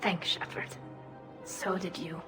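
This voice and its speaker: A young female alien refugee for a video game. She sounds gentle and earnest, telling things in a measured, reflective way with quiet resilience. Her pitch sits in the middle for a woman, and music plays behind her. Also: fairly even intonation. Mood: reflective, forgiving.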